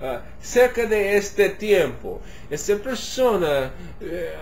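A man in his thirties, a native English speaker, gives an interview in halting Spanish and English, with many fillers and restarts, gesturing as he talks. He is recorded on a webcam in his home kitchen. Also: high-pitched, big pitch swings, a little hiss or hum. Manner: gestures animatedly while talking. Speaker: interviewee, musicology professor. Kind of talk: casual on-camera interview. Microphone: webcam at desk distance.

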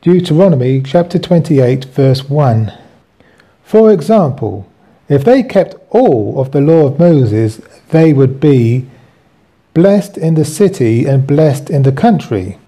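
A man hosting and reading from his own book with commentary, in deliberate, halting phrases. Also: on the high side, big pitch swings, mellow.

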